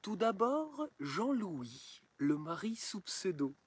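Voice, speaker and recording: A man reciting satirical rhymed verse in a theatrical, measured, declamatory storytelling manner. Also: sits high, very animated, a little hiss or hum. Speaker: poem reciter.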